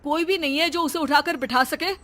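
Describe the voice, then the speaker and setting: A woman's voice: high-pitched, brisk, sharp tone, pitched above the performer's own voice. A woman voicing an angry, protective young husband in a cartoon story, indignant and urgent.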